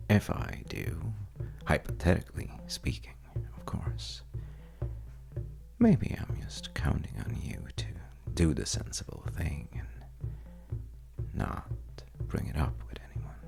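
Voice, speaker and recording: A man playing a charming, shifty stranger at a bar in an intimate audio roleplay, telling wry, teasing tales in a hesitant, gravelly way. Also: very animated, slow, long pauses, whispered, over music, full character voice. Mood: coy, teasing. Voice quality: very deep, gravelly, breathy at times. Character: well-dressed, untrustworthy charming male stranger at a bar, bragging playfully.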